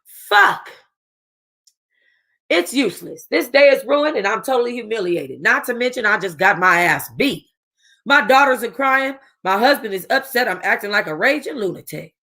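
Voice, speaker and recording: A woman reading a first-person novel aloud for a book club, casual and expressive, with a streetwise tone. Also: a little high, moderate pace, creaky.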